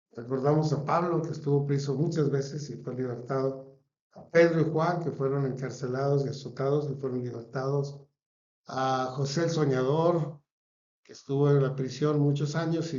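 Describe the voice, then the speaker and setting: A man's voice: mid-range voice, mellow, echoey room, dull audio. A man with a Mexican accent preaching a sermon in an earnest cadence, stacking phrases one after another. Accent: Mexican Spanish. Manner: earnest, repetitive listing cadence.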